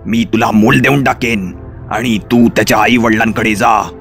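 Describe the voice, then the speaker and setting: A man voicing a scheming wolf in a children's animated fairy tale, speaking slowly and deliberately. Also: fairly low pitch, creaky, soft background music. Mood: plotting, reassuring. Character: scheming male wolf, friend turned vengeful.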